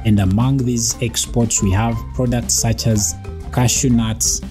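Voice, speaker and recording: A man with a mid-range voice narrates a script in a calm, explanatory way. He sounds like a host teaching his audience. Music plays clearly beneath the voice-over. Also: medium pitch, measured pace. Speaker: channel host.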